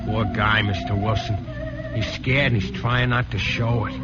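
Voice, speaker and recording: A man playing a loyal, soft-hearted, streetwise driver in an old radio drama, speaking colloquially with an American accent. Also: lowish voice, fairly even intonation, normal speed, natural voice. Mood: sympathetic, reflective. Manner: colloquial, plain-spoken. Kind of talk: 1940s radio drama acting. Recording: dull old radio recording with music.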